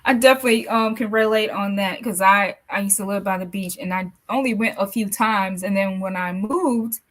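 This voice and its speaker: A woman from the American South hosting a podcast interview, friendly and affirming, playful as she runs a quick game. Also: mid-range voice, fairly bright tone. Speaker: podcast host. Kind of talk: casual interview chat.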